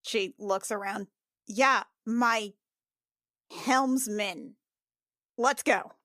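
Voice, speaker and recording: Slow-talking woman, dry close sound. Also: a little high, fairly bright tone, no room sound. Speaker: tabletop game player. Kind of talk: casual improvised role-play chat.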